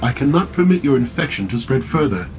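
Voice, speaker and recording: A male actor playing a cold, imperious war machine in a video game, speaking in a measured, formal, menacing way. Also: medium pitch, very animated, close to an everyday voice. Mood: cold judgment. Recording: loud music and battle effects behind.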